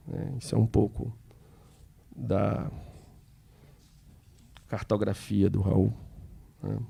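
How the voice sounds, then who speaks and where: Gravelly low-voiced man with a Piauí accent. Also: warm tone. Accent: Piauí Brazilian Portuguese. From Piauí, Brazil. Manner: halting, many restarts and fillers, slows on names. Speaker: seminar organizer and moderator. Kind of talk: spontaneous public speech at a seminar. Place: museum seminar hall.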